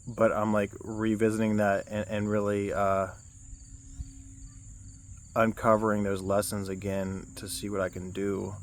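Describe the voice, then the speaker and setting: A young man with a low baritone voice, giving a casual self-help vlog outdoors, rambling with many restarts and fillers. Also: flat delivery, measured pace. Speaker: vlogger.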